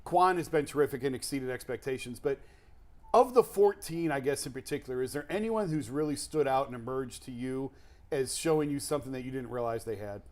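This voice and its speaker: American male sports show host, mid-pitched. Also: expressive, a little fast, a little mellow. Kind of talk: conversational sports interview questioning.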